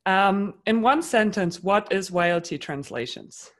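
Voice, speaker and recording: A woman's voice: medium pitch, lively intonation, unhurried. A woman hosting an interview show, introducing her guest and asking prepared questions.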